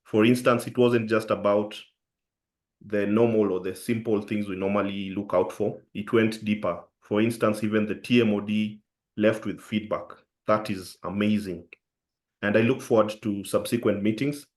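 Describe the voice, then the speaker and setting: A man's voice: fairly low pitch, steady tone, normal speed, a little mellow. Polite, formal man on a video call. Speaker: guest joining a club meeting. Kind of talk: short speech of thanks.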